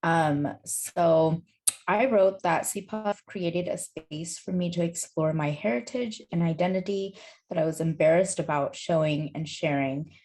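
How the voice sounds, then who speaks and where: A woman with a low voice reads aloud a personal statement that she wrote. She speaks as a student participant in a presentation. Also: unhurried, darkish tone. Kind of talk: reading own written reflection aloud.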